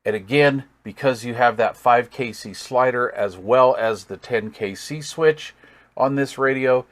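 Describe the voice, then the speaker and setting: A man with an average-pitched voice gives an informal, hands-on demo. He thinks out loud as he works, in the folksy, easygoing way of an enthusiastic hobbyist showing off equipment. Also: measured pace. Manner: thinks aloud while working. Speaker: radio reviewer and hobbyist. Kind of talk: casual hands-on product demonstration.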